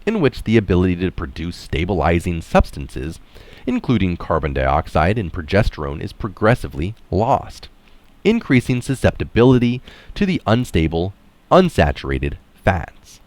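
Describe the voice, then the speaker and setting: A man's voice: low voice, very animated, moderate pace, darkish tone, no room sound. A man reading a written article aloud as narrator, breaking off for informal, explanatory asides.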